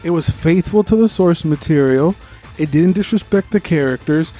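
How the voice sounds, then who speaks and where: A man's voice: on the high side, moderate pace, mellow, soft background music. A man co-hosting a podcast, giving an informal, opinionated movie review in casual conversation and swearing freely.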